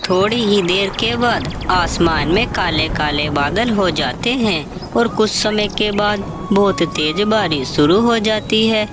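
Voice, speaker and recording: A woman narrating a scripted children's story as the storyteller. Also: mid-range voice. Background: loud music.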